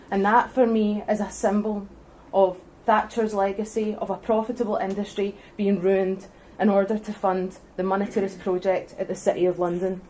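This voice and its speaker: A woman's voice: medium pitch, slight background noise, poor audio. Earnest Scottish woman giving a debate speech. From Scotland. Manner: earnest, forceful, building arguments point by point. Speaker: debate speaker for independence.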